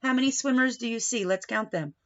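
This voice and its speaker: A woman reading a picture book to young children like a teacher, pausing to explain and put questions to her listeners. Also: on the high side, highs cut off. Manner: instructive, questioning, addresses young listeners directly.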